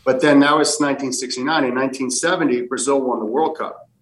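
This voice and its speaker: Man, fifties, American, rambling interview answers, lots of fillers, average pitch, a bit off-mic. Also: fairly even intonation, quick pace, recorded from a distance, a crowd in the background, rough recording. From United States. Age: 50s. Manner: rambling with many fillers and restarts. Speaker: soccer technical director.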